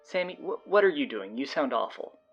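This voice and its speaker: An actress playing a bossy, sardonic teenage high school girl with a blunt, exasperated manner in an audio drama. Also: very animated, fairly quick, muffled recording, soft background music, full character voice.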